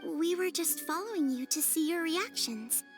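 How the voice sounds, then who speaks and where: Humble, high-voiced little yokai. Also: female voice, lively intonation, normal speed, music in the background. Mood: apologetic. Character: small humble yokai creature, earnest, loyal, modest. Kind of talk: video game voice acting.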